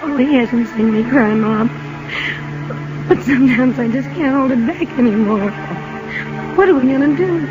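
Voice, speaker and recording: An actress playing a loving, anxious young wife with an American accent, earnest and gentle, in a 1950s radio drama. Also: female voice, high-pitched, normal speed, pauses often, lightly acted. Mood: distraught. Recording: dull 1950 radio broadcast, music behind.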